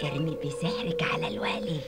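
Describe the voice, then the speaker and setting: A woman's voice: sits low, fast talker, lightly acted. A woman voicing a gloating, cackling old witch in a cartoon dub. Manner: gloating, theatrical. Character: gloating old witch who seizes rule of a city. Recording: music behind the voice.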